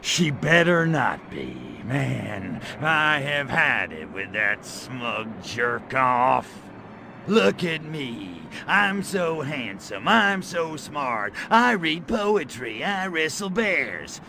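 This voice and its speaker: An actor playing a cocky, wisecracking alien invader in a video game, crude, flirty and sarcastic, with a drawling delivery. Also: male voice, fairly high pitch, sing-song, very slow, gravelly, background noise, full character voice. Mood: jealous, mocking. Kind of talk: video game cutscene acting.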